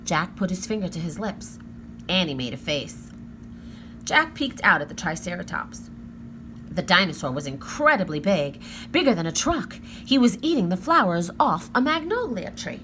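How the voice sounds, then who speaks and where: A woman narrating a children's book aloud with expressive, careful, dramatic phrasing. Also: fairly low pitch, normal speed, a little hiss or hum. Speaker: storybook reader.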